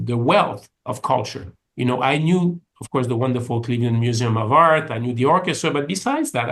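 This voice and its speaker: A man's voice: medium pitch, fairly bright tone. A man with a French Canadian accent, answering interview questions in a thoughtful, conversational way with frequent restarts. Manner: thoughtful, many restarts and fillers. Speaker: orchestra executive, guest.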